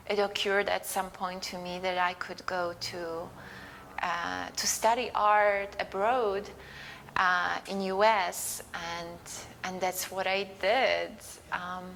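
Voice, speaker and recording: Slow, gravelly woman. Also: on the low side, a bit bright, slight background noise, low-quality recording. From Poland. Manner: thoughtful, searching for words. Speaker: guest artist. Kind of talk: interview answers. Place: museum gallery.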